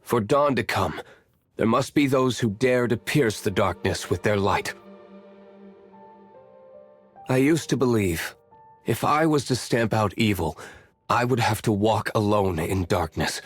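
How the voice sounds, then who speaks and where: An actor playing an aloof, brooding young nobleman warrior in a video game, reserved and formal, speaking in measured, curt lines. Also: medium pitch, expressive, husky, faint music behind. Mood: solemn, sincere.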